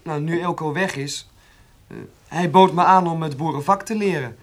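An actor playing a polite, eager young farm lad in a radio drama, tentative and deferential. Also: male voice, a little high, moderate pace, close to an everyday voice. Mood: tentative, earnest. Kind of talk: naturalistic Dutch radio drama acting.

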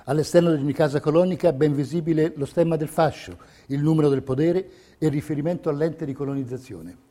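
Man, soft dark timbre, slightly high pitch, declamatory scripted narration, theatrical. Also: quick pace. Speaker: stage narrator.

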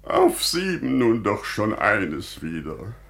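A man's voice: fairly low pitch, huge pitch swings, takes it slowly, creaky, fairly bright tone, heavily acted. An actor playing a grave, devout bereaved father and merchant in a solemn, declamatory German radio drama style, swelling with emotion. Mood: fervent, overwhelmed.

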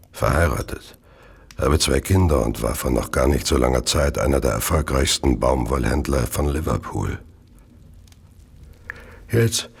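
An actor playing a drug-wrecked merchant in his fifties, a killer confessing in grave, brooding radio drama narration with a gravelly, husky voice. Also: rumbling low, exaggerated voice. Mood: bitter, grave. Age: 50s. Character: James Maybrick, drug-wrecked fifty-year-old merchant, confessed killer.

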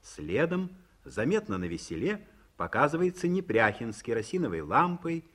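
A man reading stage directions as narrator of a radio play, in a measured way. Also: mid-range voice, big pitch swings, a bit bright. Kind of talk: scripted narration. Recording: 1950s studio radio recording.

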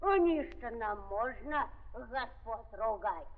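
Old village aunt, 1930s radio drama: rural Russian accent, wheedling, self-excusing, very slow delivery, boxy vintage sound. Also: female voice, a little high, animated. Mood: chatty, self-justifying. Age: older. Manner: folksy, lamenting, sing-song with repetitions. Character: garrulous, fawning old peasant aunt, sly and self-excusing. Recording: muffled, reverberant 1930s archive recording.

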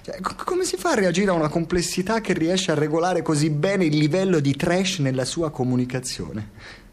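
An actor playing a sardonic, neurotic Italian expatriate in a radio drama, speaking in a halting, digressive monologue with an Italian accent. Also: male voice, fairly high pitch, sing-song. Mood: exasperated. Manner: halting, digressive, swearing, swings from muttering to exclaiming. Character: bitter, rambling precarious Italian expatriate man, sardonic and neurotic.

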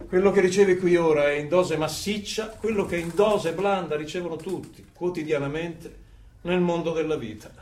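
An actor playing a cold, sadistic torturer of a totalitarian regime, delivering deliberate, pompous, menacing theatrical speeches. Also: male voice, a little high, a little mellow, close to an everyday voice. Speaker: stage actor. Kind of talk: theatrical dystopian monologue. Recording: roomy, distant, noisy stage recording.

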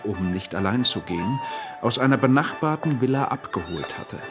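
A man narrating a radio drama in a formal, factual, scripted reading. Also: mid-range voice. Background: music.

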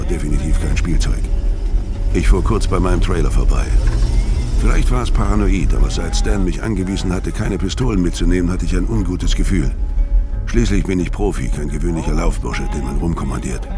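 An actor playing a world-weary career criminal, narrating a noir audio drama in a terse, deadpan way. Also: male voice, sits low. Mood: wry, hard-boiled. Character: hard-boiled, world-weary male career criminal narrating his story. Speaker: first-person narrator. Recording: music bed under voice.

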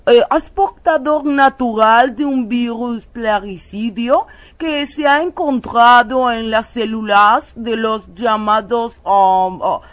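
An actress plays a French woman scientist in a radio play, with a raised pitch and a French accent. She speaks slowly and formally, hesitating often as she delivers solemn pseudo-science. The sound is dry and close. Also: dry recording. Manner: halting, hesitant, formal lecturing. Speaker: interviewed expert guest. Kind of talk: satirical radio theatre interview.

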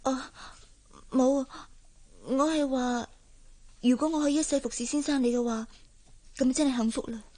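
Young housemaid, high-pitched, Hong Kong Cantonese, earnest, wistful, deferential, radio drama. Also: female voice, fairly even intonation, normal speed, long pauses, warm tone. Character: earnest, lovesick young maid from a poor family.

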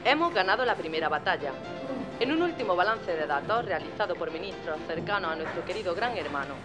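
A woman's voice: lowish voice, expressive, quick pace, natural voice. A woman playing a proud propaganda news announcer in a radio drama, formal and declamatory. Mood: proud, triumphant. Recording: loud music over the voice.

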